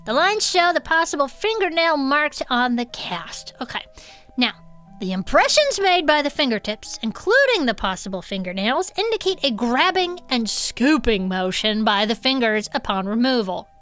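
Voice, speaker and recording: Woman, high voice, swooping expressive delivery, playful comedy podcast host, faint background music. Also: moderate pace. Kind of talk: comic reading aloud of a report with chatty asides.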